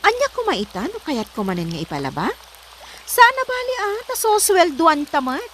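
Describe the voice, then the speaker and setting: A woman with a Filipino accent playing a haughty, quick-tempered employer in a comedy drama, scolding and imperious. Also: extremely high pitch, huge pitch swings, fairly bright tone, a little hiss or hum, full character voice. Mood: defensive, irritated.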